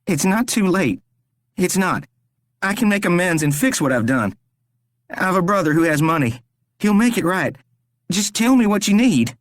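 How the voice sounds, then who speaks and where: A man voicing a terrified young man who is tied up and desperately pleading for his life, his voice strained and high. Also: big pitch swings, normal speed, long pauses, no room sound.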